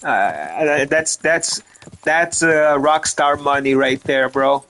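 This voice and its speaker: A man's voice: on the high side, slow pace, fairly bright tone. Rambling man. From Romania. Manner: rambling, stumbles over repeated words, swears casually. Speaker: gaming streamer. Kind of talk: casual gameplay commentary.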